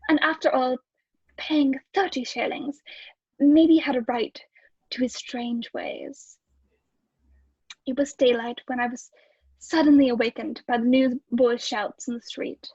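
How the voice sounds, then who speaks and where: A high-voiced, fretful landlady acted by a woman tells a dark tale in radio-play style. Her delivery is hesitant and breathless, and the recording is dry and somewhat dull. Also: breathy edge, dry recording, exaggerated voice. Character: anxious, fretful landlady narrating a dark mystery. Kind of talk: old radio play acting.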